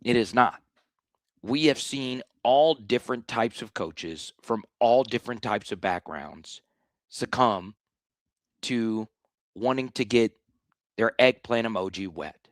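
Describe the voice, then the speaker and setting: A man with a slightly hoarse voice hosting a live sports talk stream, blunt and animated, often restarting his sentences. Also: mid-range voice, takes it slowly, no room sound. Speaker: sports show host.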